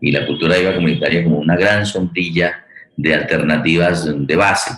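A man with a Colombian accent talking conversationally about his views as a forum host, halting and repeating himself as he searches for words. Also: deep voice, fairly even intonation, fairly bright tone. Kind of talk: conversational political and cultural discussion. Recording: echoey room sound.

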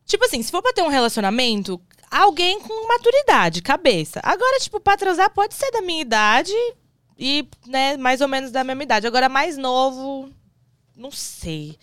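A woman's voice: sits high, normal speed, no echo, clean studio sound. A young woman from Brazil talking frankly and giggling easily as a guest in a casual chat. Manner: frank, giggly, lively storytelling. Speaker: interview guest.

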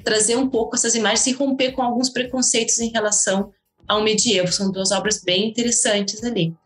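A woman's voice: a little high, fairly even intonation, a little fast, reverberant. A woman speaking as a guest historian in a casual interview, rambling with many restarts and fillers.

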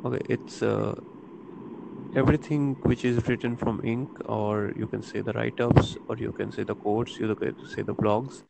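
A young man from India, an MBA aspirant, asking questions and explaining slowly in a casual video chat. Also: on the low side, fairly even intonation, gravelly, very noisy. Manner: asks questions, explains slowly, soft-spoken.

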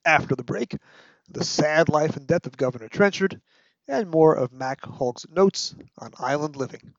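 A man hosting a podcast with scripted narration, measured, articulate and wry, like a literary review. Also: on the high side, animated, dry recording, muffled recording. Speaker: podcast host.

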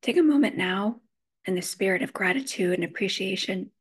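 A woman leading a guided meditation, giving gentle instructions in measured phrases with frequent pauses. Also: medium pitch, expressive, slightly rough.

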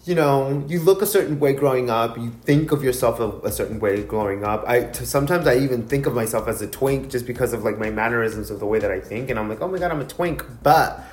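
A man's voice: mid-range voice, fast, hardly any pauses, a little mellow, echoey room. A man in his thirties, a podcast co-host, talking candidly in casual chat, laughing often and swearing freely. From Mexican heritage. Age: 30s.

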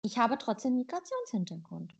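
A woman's voice: on the high side, huge pitch swings, moderate pace, gravelly, slightly rough. A woman born in Germany co-hosting a podcast, talking bluntly and playfully in casual chat. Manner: blunt, playful, spins jokes out. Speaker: podcast co-host.

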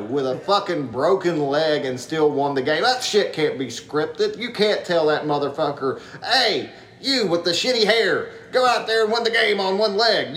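A man's voice: high voice, a bit bright, echoey room. A man delivering a crude, heated comedic rant as a segment host.

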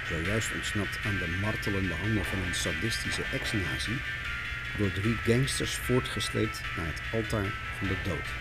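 A man hosting a podcast, reading scripted narration in a wry, tongue-in-cheek way. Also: on the low side. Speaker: podcast host.

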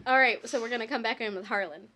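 A woman's voice: a little high, fast talker, bright, forceful tone. A woman hosting a casual group podcast, bantering and giggly, on a noisy recording. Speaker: podcast host.

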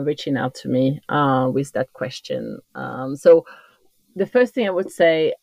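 A woman in her fifties with a French accent talks in a loose, rambling way, often restarting her sentences. Her voice sits on the low side. The sound is dry and close. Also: a little mellow, dry recording. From Corsica, France. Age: 50s. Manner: chatty and rambling, with many restarts and fillers. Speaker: podcast guest, trail runner. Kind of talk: casual interview chat.

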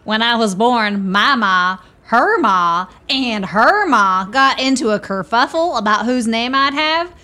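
A woman's voice: a little high, lively intonation, a little slow, few pauses, bright, forceful tone. A woman playing a friendly, talkative, plainspoken homeless woman in a radio play, with a rustic, folksy manner.

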